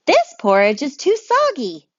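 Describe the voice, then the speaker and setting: A woman's voice: sits high, wildly swooping pitch, dry recording, pitched above the performer's own voice, heavily acted. A woman doing a fussy storybook bear. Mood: picky. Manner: slow, drawn-out complaints. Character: fussy grown-up male bear tasting and testing. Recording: dry, dull lo-fi sound.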